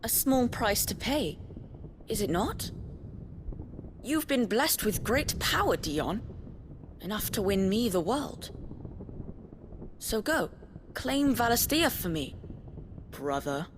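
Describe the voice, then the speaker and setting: Cold, slow-speaking villainess. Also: female voice, mid-range voice, sing-song, background noise. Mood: haughty coaxing. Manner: measured, haughty, archaic formal phrasing. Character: cold, scheming female villain, regal and cruelly composed. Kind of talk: dramatic fantasy game acting.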